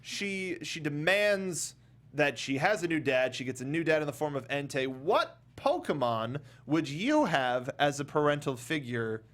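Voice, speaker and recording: A man, fairly young, hosting a casual movie review panel in an animated, jokey way and often stumbling over his words. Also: on the high side, a little slow. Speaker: talk show host.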